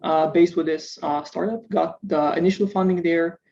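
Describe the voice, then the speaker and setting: A man's voice: on the high side, steady tone, moderate pace, creaky, a little mellow, muffled recording. A startup founder from Israel, a man, answering interview questions hesitantly, with many filler sounds. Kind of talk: casual interview over video call.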